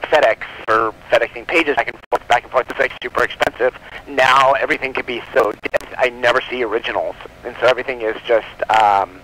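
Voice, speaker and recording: A man's voice: mid-range voice, fairly bright tone, low-quality recording. A man talking at length in a casual radio interview as a guest, in a rambling, chatty way. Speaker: guest, comic book publisher.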